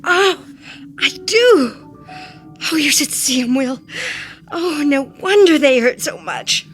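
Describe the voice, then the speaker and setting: Casting: gutsy older rural wife, higher-pitched voice, folksy and stubborn, radio thriller with prominent music. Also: female voice, lively intonation, takes it slowly. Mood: pained, distressed. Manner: plain-spoken, folksy, wry. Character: feisty, stubborn old country wife, hurt but defiant. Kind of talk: audio drama acting.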